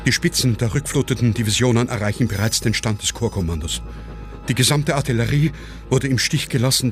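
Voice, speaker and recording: A man's voice: medium pitch, voice pushed down, natural voice. A man playing an agitated telephone officer urgently delivering a report of military disaster in a satirical radio play.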